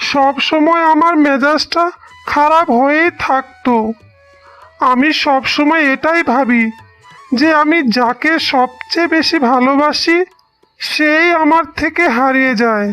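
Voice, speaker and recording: A woman playing a humbled husband and elder brother in a cartoon melodrama, apologetic and pleading in a deliberate way. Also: high-pitched, a bit bright. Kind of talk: slow melodramatic cartoon dialogue. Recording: music under the voice.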